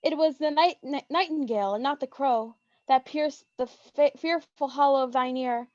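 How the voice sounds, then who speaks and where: A woman's voice: sits high, normal speed, no echo, close to an everyday voice. A woman playing a lovestruck teenage girl in theatrical Shakespearean verse, on a lo-fi online microphone. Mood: tender, coaxing. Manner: dramatic, theatrical delivery. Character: lovestruck teenage girl pleading with her boyfriend. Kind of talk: Shakespearean verse acting in an online staged reading.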